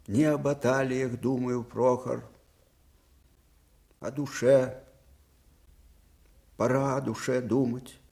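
An actor playing an aged, melancholy and eccentric Russian field marshal in a radio drama, speaking in halting, broken phrases. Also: male voice, mid-range voice, little pitch movement, creaky, darkish tone. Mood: resigned, solemn. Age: older. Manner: brooding, halting, broken phrases with sudden outbursts. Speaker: actor in the lead part. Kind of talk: Soviet radio drama acting.

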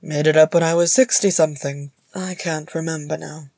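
A woman voicing a jovial, doting male dwarf warrior in a storybook, gruff and mock-solemn. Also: deep voice, steady tone, normal speed, darkish tone, dry recording. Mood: casual. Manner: mock-solemn, playful, teasing.

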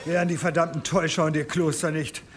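A man's voice: a little high, little pitch movement, fast talker, crowd noise, lightly acted. A man acting a bitter, grieving villager in a German radio drama. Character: bitter, grieving male villager in angry crowd.

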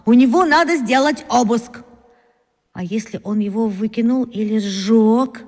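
A woman's voice: fairly high pitch, unhurried, a little mellow. An actress playing a self-important schoolboy detective, muttering his schemes to himself in bursts, in a children's radio play. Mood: scheming. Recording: long reverb, as inner thoughts.

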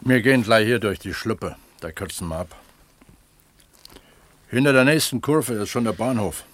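An actor playing an older pump attendant who writes, proud, blunt and terse, in a 1970s East German radio drama. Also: male voice, lowish voice, normal speed, a bit bright. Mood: matter-of-fact. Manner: plain-spoken, terse.

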